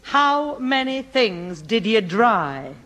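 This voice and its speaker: An actress playing a brisk, long-suffering mother with a husky voice in a 1960s radio sitcom. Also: fairly high pitch, full character voice. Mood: exasperated. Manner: expressive, scolding, motherly.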